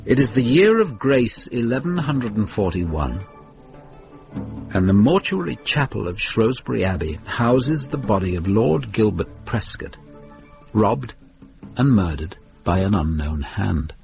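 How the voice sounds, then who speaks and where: An actor narrating a medieval mystery radio drama as a grave, deliberate British storyteller. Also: male voice, fairly low pitch, big pitch swings, gravelly, music in the background, exaggerated voice. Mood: grave, atmospheric.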